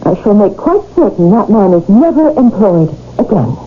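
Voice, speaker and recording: An actress playing a stern, sharp-tongued shopkeeper's wife in a radio drama, firm and scolding in manner. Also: female voice, medium pitch, very animated, normal speed, phone-quality sound, over loud music, natural voice. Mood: indignant, vengeful. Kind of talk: 1970s radio drama acting. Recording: thin old radio broadcast.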